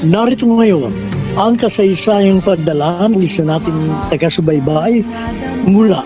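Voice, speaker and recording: A man narrating a radio drama in a sentimental, measured, scripted poetic style. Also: sits high, dull audio, music in the background.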